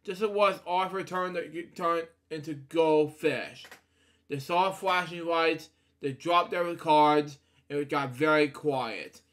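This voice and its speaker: A man reading a bedtime storybook aloud in a halting way, often breaking off to comment. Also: on the high side, fairly bright tone. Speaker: storybook reader. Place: indoor room at a computer.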